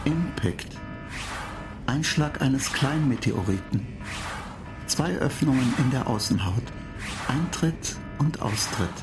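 An actor in a radio play voicing an impassive spaceship computer that makes clipped, monotone technical announcements. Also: mid-range voice, slow, pauses often, over music. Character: impassive spaceship computer reporting faults and countdowns.

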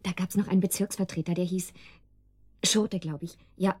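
Anxious, trusting young housewife. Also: female voice, on the low side, steady tone, moderate pace, darkish tone, husky. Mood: anxious, defensive. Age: young adult. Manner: hesitant, earnest. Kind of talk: German radio drama acting.

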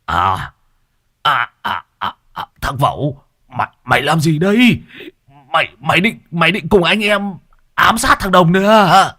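A frightened old fortune-teller stammers and pleads, his voice jumping from low mutters to high, urgent cries, as a male storyteller puts him on. The sound is dry and close. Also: very animated, measured pace, fairly bright tone, dry recording, exaggerated voice. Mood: frightened urging.

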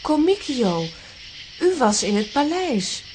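A woman's voice: high-pitched, sing-song, slow pace, noisy background, full character voice. A woman in a children's audio drama playing a gracious, earnest young princess with a formal, theatrical manner. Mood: curious.

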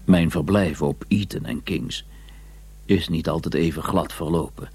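An actor playing an educated scholar who narrates his memories in a measured, musing, wry way with a husky voice in a radio drama. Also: a bass voice, a little mellow, heavily acted. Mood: reflective. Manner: measured, musing, deliberate pauses.

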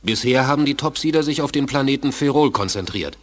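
An actor playing an anxious alien ruler who speaks urgently and formally in a 1980s German radio play. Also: male voice, mid-range voice, animated, a little fast, close to an everyday voice. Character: anxious male alien ruler pleading for help.

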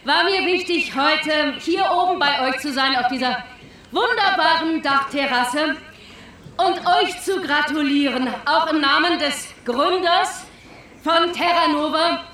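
An actress playing a company boss who gives a gracious, ceremonial speech of congratulation in a radio drama. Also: female voice, high-pitched, unhurried, sharp tone. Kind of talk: formal celebratory speech. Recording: echoing, distant PA sound, faint noise.

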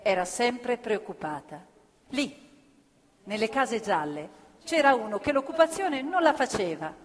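Woman stage narrator, fairly high voice, earnest and measured, long theatre reverb, soft live music behind. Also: sing-song. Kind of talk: theatrical storytelling. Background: live violin and piano.

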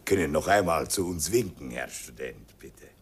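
A raspy Hungarian stationmaster. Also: male voice, rumbling low, animated, normal speed, full character voice. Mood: advising. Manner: broken German, earnest advice-giving. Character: kindly Hungarian railway stationmaster, fatherly. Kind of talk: 1950s radio play acting.

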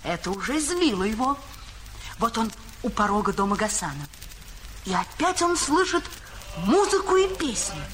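Male narrator, measured fairy-tale delivery, lively pitch, noisy background. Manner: measured storytelling.

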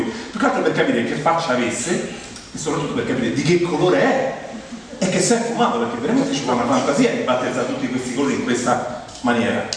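Roman man on stage in echoey hall. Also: sits high. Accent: Roman Italian. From Rome, Italy. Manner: conversational, ironic, teasing the audience. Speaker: stand-up monologist, stage performer. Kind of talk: humorous autobiographical theatre monologue to an audience. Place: theatre stage in a large hall. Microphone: distant microphone. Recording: echoey hall from far off, faint noise.

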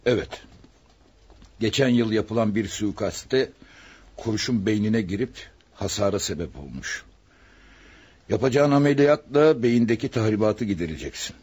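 Low, husky discreet official. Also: male voice, lively intonation, a little slow, pauses often, darkish tone. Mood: grave, confidential. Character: grave, discreet official confiding a secret. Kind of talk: radio drama acting.

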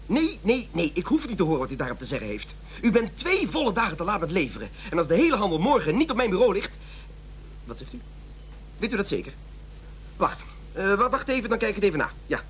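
An actor in a radio play playing an irritable, defensive office manager and husband who is quitting smoking. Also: male voice, sits high, sing-song, fast, fairly bright tone. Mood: irritated, snapping. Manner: blustering, defensive. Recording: faint noise and hum.

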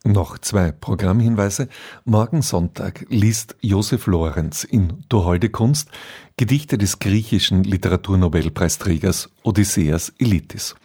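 A man's voice: low-pitched, unhurried, mellow, husky. A man reading scripted programme announcements as a radio presenter. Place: radio studio.

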